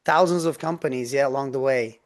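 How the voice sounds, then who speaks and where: A man's voice: mid-range voice, fairly quick. A man, a guest on a business podcast, telling his story in an earnest, eager way over a video call. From Turkey. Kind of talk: interview storytelling.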